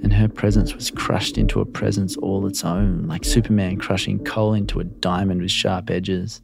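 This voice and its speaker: A man reading a literary first-person story in short, measured, confessional sentences. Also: low-pitched, flat delivery, vocal fry, music in the background.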